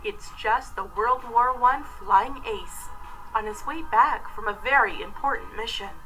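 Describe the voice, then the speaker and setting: A woman, narrating a children's story in an expressive, scripted read-aloud style. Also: on the high side, a little slow, faint music behind, low-quality recording.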